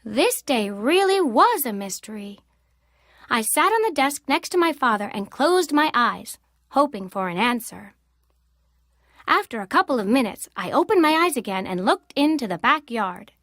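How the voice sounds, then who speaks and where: High, lively woman reading a children's story. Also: no room sound, clean studio sound. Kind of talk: expressive read-aloud storytelling as a young girl in first person.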